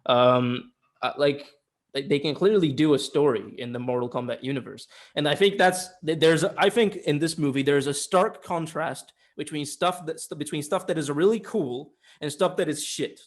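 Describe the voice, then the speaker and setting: A man with a voice a little higher than most co-hosts a film review show. He talks informally and argues his opinions bluntly, with some swearing. The delivery is that of a casual discussion. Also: normal speed. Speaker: film review podcast co-host.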